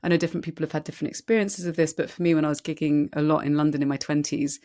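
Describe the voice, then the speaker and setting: Low-voiced chatty woman host. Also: flat delivery, rapid-fire. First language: French. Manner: chatty, warm-hearted enthusiasm, rambling asides. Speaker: podcast host. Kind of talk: conversational interview. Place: Barcelona.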